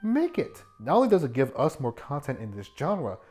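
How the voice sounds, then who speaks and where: A man narrating a video essay in an informal, jokey way, with animated asides and some swearing. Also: mid-range voice, normal speed. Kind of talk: scripted video essay commentary with joking asides. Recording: music bed behind the voice. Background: background music.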